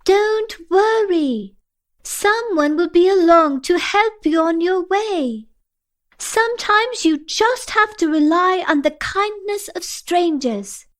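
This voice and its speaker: A bright-spirited ten-year-old girl played by a woman narrator in an acted audiobook. She speaks high and very slowly, with playful confidence and eager, emphatic reassurance. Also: animated. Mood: calling out, reassuring. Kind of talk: acted audiobook character dialogue.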